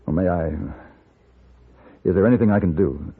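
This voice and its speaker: An actor playing a composed, courteous gunfighter for hire in a 1950s radio western, speaking with measured, dry politeness. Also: male voice, low voice, breathy edge. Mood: curious, courteous. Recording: muffled old radio recording, music underneath.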